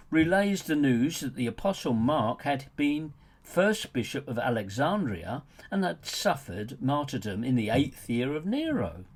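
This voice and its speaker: Male audiobook narrator, slightly husky voice, very animated pitch, wry and mocking, scripted author's read. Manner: sardonic, mocking, wry rhetorical asides. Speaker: author reading his own book.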